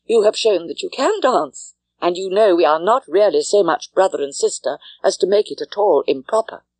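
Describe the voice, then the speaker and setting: A woman voicing a spirited, self-assured young English gentlewoman in an audiobook, poised and playful. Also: fairly low pitch, animated, normal speed, no room sound, rough recording. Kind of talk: audiobook character acting.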